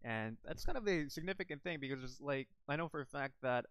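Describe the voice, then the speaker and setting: A man's voice: mid-range voice, lively intonation, fast talker, a bit bright, clean tone, dry recording. A young man from the Philippines chatting casually on a live stream from home, rambling with lots of fillers and restarts into a desk microphone. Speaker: YouTuber streaming about an online game.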